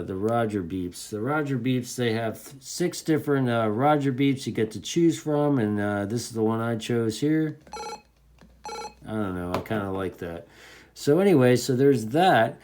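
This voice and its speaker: Regular guy doing a gear review, folksy and into it, mid pitched voice, informal. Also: darkish tone, clear, resonant tone. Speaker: radio reviewer. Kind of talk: casual product demonstration.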